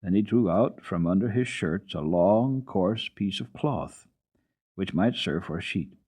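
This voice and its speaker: A male storyteller with a low, husky voice reads a children's book dramatically. His delivery is folksy and lively in pitch. It sounds like a muffled archival radio program. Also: measured pace.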